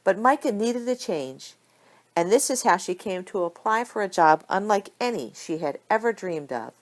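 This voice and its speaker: A woman reading a story aloud as an amateur audiobook narrator, with expressive delivery. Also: mid-range voice, normal speed. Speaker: audiobook reader of fan fiction.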